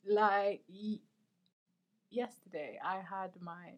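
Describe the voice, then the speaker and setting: A woman's voice: mid-range voice, slow pace, very noisy. Young woman, casual chat, teasing banter, laughs often.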